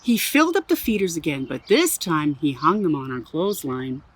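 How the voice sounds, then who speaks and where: A woman from Canada reads a children's storybook aloud outdoors in her backyard. Her pitch swings widely as she hams up the story with playful exclamations, and birds chirp faintly behind her. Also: moderate pace, husky. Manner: expressive, playful, adds exclaimed asides. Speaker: museum storyteller. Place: own backyard.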